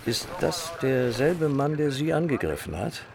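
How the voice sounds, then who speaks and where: Lively-pitched, authoritative police commissioner. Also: male voice, measured pace, gravelly, crowd noise. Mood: sober, businesslike. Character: measured, cautious male police commissioner. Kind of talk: radio crime drama acting.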